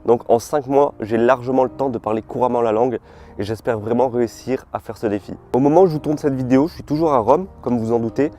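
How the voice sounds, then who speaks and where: A young French man chats to camera in a relaxed, informal way, peppered with slang. He is outdoors in a park, caught on a simple camera mic. Soft music plays faintly underneath. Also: mid-range voice, fast. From France. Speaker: vlogger. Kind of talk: casual vlog talk to camera. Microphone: camera mic, filmed without full gear.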